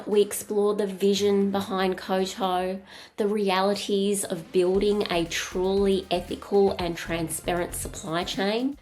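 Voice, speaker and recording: A woman hosts a podcast in a middling voice. She delivers her words in a polished, enthusiastic way, smooth in the scripted opening and warmer in conversation. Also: medium pitch. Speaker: podcast host. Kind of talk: scripted intro then interview questions.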